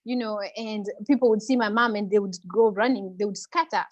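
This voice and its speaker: A woman's voice: medium pitch, a little fast. A middle-aged woman, a guest on a video call interview, talking conversationally with many "you know" fillers.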